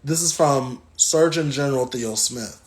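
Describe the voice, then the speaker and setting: An American man from the South giving a reflective book talk and reading aloud, thoughtful and halting, with frequent pauses. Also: mid-range voice. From Southern United States. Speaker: guest reader.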